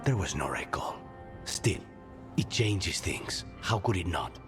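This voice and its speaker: A man playing a grim, loyal assassin in a fantasy game, restrained, with a breathy edge. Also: low-pitched, big pitch swings, slow pace, over music, full character voice. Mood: grim, brooding. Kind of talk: dramatic video game acting.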